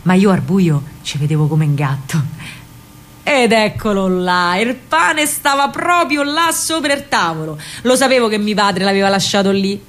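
A woman's voice: medium pitch, expressive, moderate pace, a bit bright, close to an everyday voice. An actress playing a spirited young working-class Roman woman, a wartime partisan courier, telling her story in an earthy, vivid dramatic monologue with a Roman accent. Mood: hushed, careful. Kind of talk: dramatic first-person monologue in Roman vernacular.

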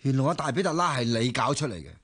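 A man's voice: medium pitch, animated, rapid-fire, fairly bright tone, slightly rough. A male actor playing an earnest, deceived ordinary man in a radio drama, blunt and indignant, with a Hong Kong Cantonese accent.

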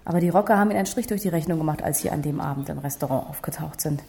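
A police investigator in a radio thriller. Her voice is lowish and dark with plenty of fry. She explains things soberly and plainly. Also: rapid-fire. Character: matter-of-fact female police investigator summing up a case. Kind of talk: radio crime drama acting.

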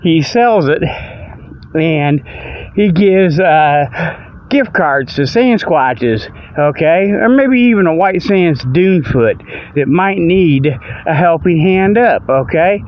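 A man's voice: a little high, expressive, slow pace. A man hosting a comic radio-style show, rambling in a folksy, ungrammatical way through mock sponsor reads and casual monologue. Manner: folksy, rambling, ungrammatical, peppered with "okay" and "you know". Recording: dull lo-fi audio with background noise.

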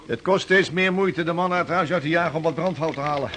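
An actor playing a practical, orderly senior sailor among a stranded crew in a radio drama. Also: male voice, on the high side, fast talker, a bit bright, slight background noise, natural voice. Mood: matter-of-fact.

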